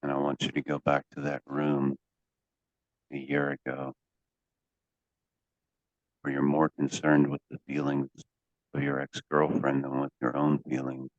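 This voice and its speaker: A man working as a hypnotherapy coach, guiding a session in a deliberate, commanding way with drawn-out pauses and phrases to be repeated. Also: very deep voice, monotone. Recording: dull, echoey lo-fi room sound.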